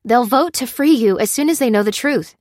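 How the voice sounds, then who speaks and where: An anguished young woman researcher in an audiobook drama, acted by a female narrator. She speaks urgently and insistently, lifted in pitch and closely recorded in a dry space. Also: expressive, brisk, darkish tone, no room sound, close to an everyday voice.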